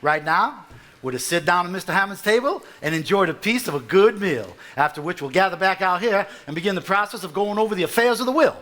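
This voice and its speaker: A stage actor playing a formal, orderly family attorney, making announcements on a live stage. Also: male voice, high-pitched, lively intonation, quick pace, fairly bright tone. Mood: businesslike. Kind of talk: live stage play acting. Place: theater stage before a live audience.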